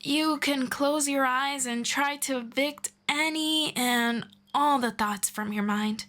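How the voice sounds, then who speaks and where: A gentle, ageless elf woman, voiced by a woman in an ASMR roleplay, patient and kind as she teaches. Her voice sits a little high and unhurried, each phrase drawn out slowly. Now and then it sinks into a hushed whisper. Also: heavily acted. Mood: gentle, soothing. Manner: soft-spoken, slow and patient, drifting into whispers. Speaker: voice actor.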